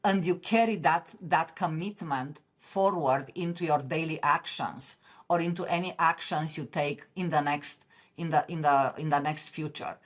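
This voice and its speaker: Earnest Romanian-accented woman, lower voice. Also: normal speed. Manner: earnest, passionate, with frequent restarts. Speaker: podcast guest, author. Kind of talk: interview conversation.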